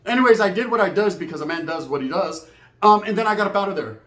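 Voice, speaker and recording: Fast-talking American man, slangy and roomy. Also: high voice. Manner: animated raconteur, acts out both sides of conversations. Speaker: comedic storyteller, host. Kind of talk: casual comedic storytelling, slangy.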